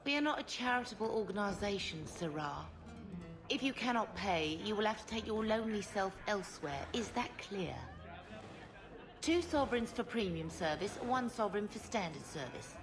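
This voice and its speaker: An actress playing a curt, haughty, businesslike brothel madam in a fantasy video game. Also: female voice, medium pitch, lively intonation, natural voice. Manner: haughty, brisk. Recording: game music behind the voice.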